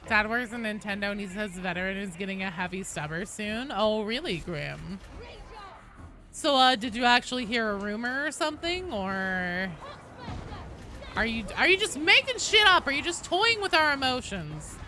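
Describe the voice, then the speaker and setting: A woman chats casually on a game livestream, her pitch moving in a very lively way. She is teasing and sweary as she answers her viewers. There is clear noise behind her voice. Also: moderate pace. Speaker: game streamer.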